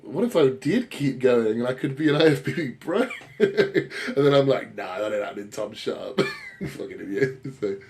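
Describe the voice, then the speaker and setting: A man's voice: mid-range voice, wildly swooping pitch, normal speed, a little mellow, a little hiss or hum. A British man in his thirties co-hosting a podcast in a casual, rambling, self-deprecating way with blokey swearing. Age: 30s. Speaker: podcast co-host and bodybuilding coach.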